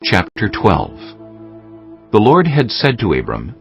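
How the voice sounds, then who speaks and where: A man narrating a dramatized scripture recording in a measured, solemn storytelling style. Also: fairly low pitch, wildly swooping pitch. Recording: muffled sound under clear music.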